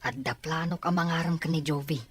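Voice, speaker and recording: A woman's voice: low voice, steady tone, a little fast, breathy edge. A woman playing a reluctant, easily surprised employee in an audio drama, exclaiming and grumbling in an Ilocano accent.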